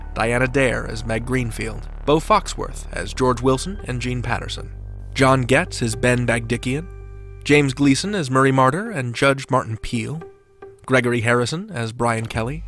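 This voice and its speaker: A man's voice: mid-range voice, a bit bright. A man hosting a podcast with scripted narration in a wry, playful manner. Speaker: podcast host.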